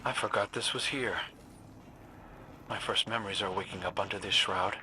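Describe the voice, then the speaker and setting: An actor playing a reserved, earnest young outcast warrior in a video game, speaking in a measured, reflective and confiding way. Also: male voice, fairly low pitch, fairly even intonation, background noise, lightly acted. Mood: wistful, confiding. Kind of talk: cinematic video game dialogue.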